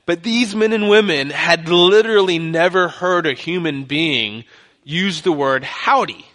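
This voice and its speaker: A man's voice: fairly high pitch, unhurried, fairly bright tone. A young man from Texas with a light Texan accent, preaching a sermon as a guest pastor in a joking, conversational way. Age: young adult.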